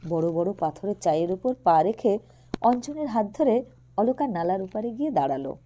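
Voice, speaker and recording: Mellow-voiced woman narrating a story. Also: mid-range voice, expressive, normal speed. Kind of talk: expressive literary audio story narration.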